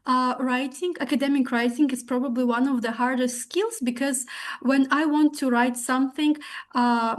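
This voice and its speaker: A woman whose first language is Russian moderates an online Q&A, her voice pitched high. She sounds polite and formal as she relays audience comments. The sound comes through a video call. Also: steady tone, normal speed. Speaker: webinar moderator.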